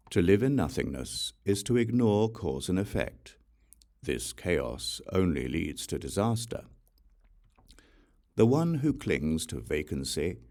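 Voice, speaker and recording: Low creaky male voice narrating an audiobook of sacred verse, measured and unhurried. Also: a little mellow. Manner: measured, deliberate phrasing with pauses.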